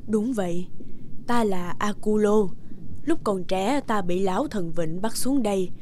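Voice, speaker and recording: A woman voicing a sombre, resigned old captive woman in an animated fairy tale, speaking gravely. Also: medium pitch, unhurried, soft background music, natural voice. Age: older.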